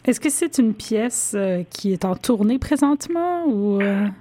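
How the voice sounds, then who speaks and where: A woman hosting a radio interview in a conversational, somewhat halting way. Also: on the high side, animated, warm tone.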